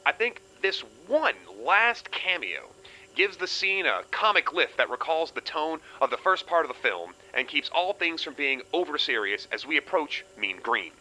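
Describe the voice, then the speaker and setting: A man's voice: a little high, very animated, normal speed, sharp tone, heavily acted, poor audio. A man voicing an opinionated writer reading out a letter in an emphatic, articulate way. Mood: earnest, opinionated. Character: opinionated male lyricist reading out his written film notes.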